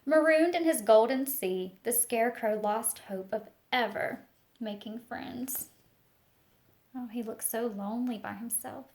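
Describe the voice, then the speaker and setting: A woman reading a picture book aloud to young children, expressive and speaking to them directly with teacher-like questions and asides. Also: a little high, measured pace, slight background noise. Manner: expressive storytelling, talks directly to young listeners.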